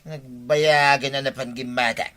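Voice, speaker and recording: Casting: male actor as a sneaky tippling village husband for Ilocano comedy drama, sharp bright voice. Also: medium pitch, fairly even intonation, measured pace. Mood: impatient.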